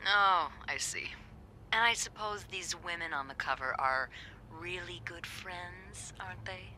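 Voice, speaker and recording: A woman's voice: lowish voice, measured pace, background noise, natural voice. A woman playing a young hotel receptionist, dry, teasing and knowing. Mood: wry. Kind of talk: video game voice acting.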